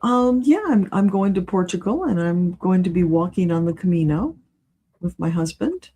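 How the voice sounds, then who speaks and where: A woman's voice: on the low side, expressive, normal speed, warm tone, a little hiss or hum. A woman speaking as a guest in a casual conversational interview. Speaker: guest, spiritual channeler and reader.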